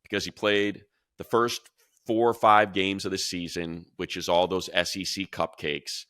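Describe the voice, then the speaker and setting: A man's voice: low-pitched, flat delivery, normal speed, fairly bright tone, no room sound, clean studio sound. A man giving casual, opinionated football draft commentary as a radio analyst, talking conversationally and thinking aloud. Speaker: sports radio announcer and analyst.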